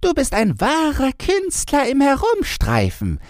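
Man putting on a high, cheeky young robber girl. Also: huge pitch swings, measured pace, no room sound, studio quality, heavily acted. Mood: teasing. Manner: blunt, teasing, bossy. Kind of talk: storybook character voice in an audiobook.

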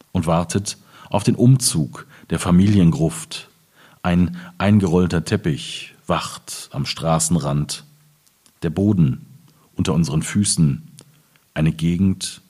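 A man speaking as a poet at a literary reading, in measured, clearly phrased German delivery. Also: low voice, a little mellow. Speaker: poet reading his own work. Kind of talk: literary reading of poems with informal introduction.

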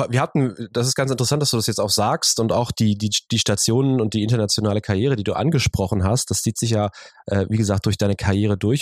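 A man hosts an interview podcast and asks his guest questions in a hesitant way, full of fillers and restarts. His voice is middle-pitched, and the recording is close and dry with no room sound. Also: a little mellow, no echo. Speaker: podcast host. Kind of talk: interview hosting.

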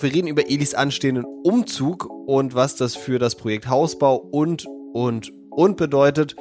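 Host with a cold, relaxed questioning podcast delivery, soft music underneath. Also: male voice, medium pitch. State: has a cold. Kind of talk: casual podcast chat.

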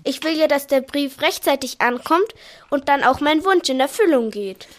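A high, childlike girl's voice acted by a woman in a children's radio play. She is eager and pleading, insisting like a nine-year-old with her heart set on something. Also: lively intonation, normal speed, slight background noise. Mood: insistent.

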